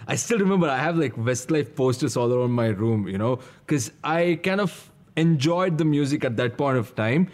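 A man's voice: medium pitch, animated, moderate pace, hardly any pauses, darkish tone. A man in his thirties hosting a casual podcast interview, agreeing often and chatting easily. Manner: affirming, lots of back-channel agreement. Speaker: podcast host.

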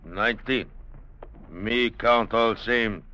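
An actor playing a blunt, demanding village chief who speaks in clipped pidgin English in a 1950s radio drama. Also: male voice, lowish voice, takes it slowly, bright, forceful tone. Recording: dull vintage radio broadcast.

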